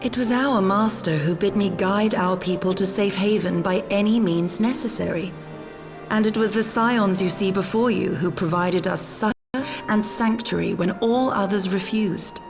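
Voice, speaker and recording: A solemn exiled warrior woman, voiced by an actress, speaks in a medium-pitched voice over prominent music. She is dutiful, formal and resolute, a dramatic video game heroine. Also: moderate pace, natural voice. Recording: music behind the voice.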